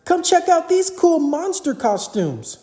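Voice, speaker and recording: An excited young boy in a children's storybook acted out by an adult reader. His voice is high and eager, swooping as he exclaims. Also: unhurried, echoey room, exaggerated voice. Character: excited young boy admiring Halloween costumes.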